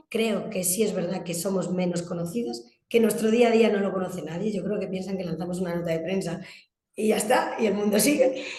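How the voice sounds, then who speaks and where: A woman's voice: mid-range voice, dark tone, echoey room. A woman from Galicia with a Spanish accent from Spain, giving explanatory, emphatic interview answers and often rephrasing as she goes. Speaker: interviewed guest, communications agency director.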